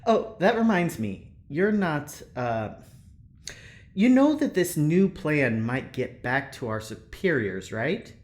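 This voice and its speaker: Actor doing breezy young engineer in an audio drama, swoopy lively voice, teasing and persuasive, kinda halting. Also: medium pitch. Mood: skeptical, prodding. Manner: casual, teasing, halting with bursts of enthusiasm. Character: upbeat, cheeky Midwestern engineer, breezy and persuasive. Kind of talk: naturalistic audio drama acting.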